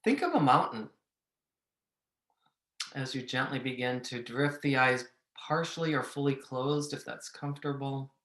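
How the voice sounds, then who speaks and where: A man leading a guided meditation, giving gentle, measured instructions with long pauses. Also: medium pitch. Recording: echoey room.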